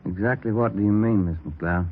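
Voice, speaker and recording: An actor playing a steady, businesslike male police detective with a plain, clipped manner in an old radio drama. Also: lowish voice, moderate pace, slight background noise, natural voice. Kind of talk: 1950s radio drama acting. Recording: tinny vintage radio recording.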